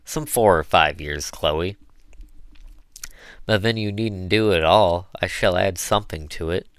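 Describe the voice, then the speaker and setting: A man voicing a composed, kindly, genteel mistress of the house in an audiobook, with proper, measured wording. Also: sits low, clear, resonant tone, dry recording, studio quality. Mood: reassuring. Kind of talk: audiobook character voice.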